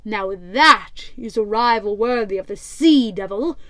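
A woman voicing a cruel, menacing male pirate captain who talks loud and threatening. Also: medium pitch, unhurried, dry recording. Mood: gloating.

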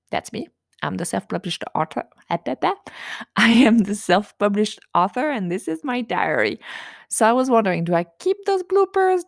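A woman's voice: lowish voice, no echo, clean studio sound. A young woman from France with a French accent, hosting her own podcast in a chatty, self-deprecating way with plenty of fillers. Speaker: podcast host. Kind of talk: casual solo podcast.

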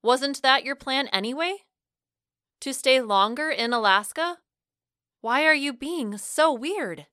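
A woman voicing a stubborn, sharp-tongued young woman in love and hurt, in emotional audiobook dialogue. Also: high-pitched, animated, slow, no echo, clean studio sound, voice pushed up, exaggerated voice. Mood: frustrated, challenging. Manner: emotional, blunt, argumentative.

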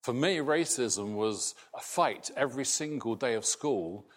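A middle-aged man raised in Scotland, with a softened Scottish accent, preaching a sermon in a slow, halting way with many restarts. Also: medium pitch, animated. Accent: Scottish, largely softened. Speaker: pastor.

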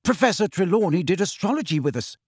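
A man plays an eager schoolgirl from a storybook. The voice is lifted and animated, earnest and excitable, in a dry close sound. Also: fairly high pitch, normal speed, husky, no room sound, voice pushed up, full character voice. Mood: excited, earnest. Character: eager, easily offended schoolgirl pupil. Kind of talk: acted audiobook dialogue.

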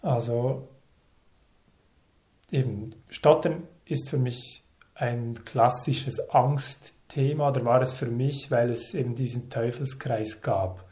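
A man's voice: mid-range voice, steady tone, warm tone. Thoughtful man in his thirties. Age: 30s. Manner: thoughtful, measured, speaks in short phrases with pauses. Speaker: interview guest. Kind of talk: reflective conversational interview.

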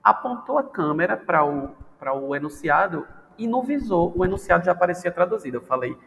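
A man's voice: high voice, sing-song, fairly quick, creaky, a bit bright. A man, a language teacher from Brazil, chatting casually and animatedly on a podcast, playfully acting out quoted voices. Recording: long reverb, faint background noise.

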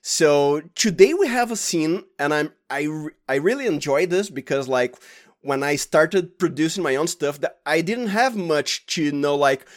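A man's voice: on the high side, lively intonation, unhurried. A man from Brazil with a Brazilian accent, a native Portuguese speaker, talking casually as an interview guest and often restarting his phrases. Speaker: guest, podcast producer and sound designer.

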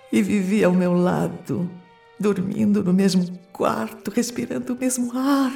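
An actress playing an anguished, bitter young woman trapped in her body, in a radio drama monologue with dramatic, breathy half-whispers. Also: on the low side, warm tone. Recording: music underneath.

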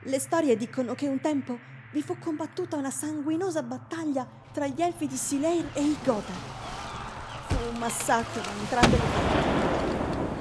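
Una donna interpreta una giovane paesana in fuga, spaventata ed esitante, dalla voce alta e chiara. Sullo sfondo c'è un lieve rumore d'ambiente da radiodramma. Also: female voice, high voice, background noise, natural voice. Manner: hesitant, nervous. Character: young village woman on the run, frightened. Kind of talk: Italian radio drama acting.

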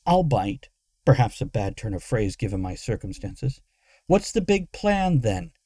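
A man's voice: on the low side, sing-song, unhurried, mellow, husky, dry recording, clean studio sound, full character voice. A man voicing a sarcastic, exasperated adventurer woman who snaps her lines in a fantasy audiobook. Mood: snappy, sarcastic.

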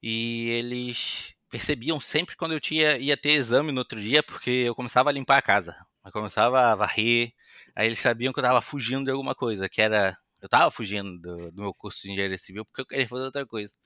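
A young Brazilian man with a light European Portuguese influence in his accent, a podcast guest telling his story in a lively, chatty way. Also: mid-range voice, quick pace, dry recording, highs cut off. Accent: Brazilian Portuguese with some European Portuguese influence. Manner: animated, chatty, acts out quoted voices. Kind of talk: casual storytelling interview.

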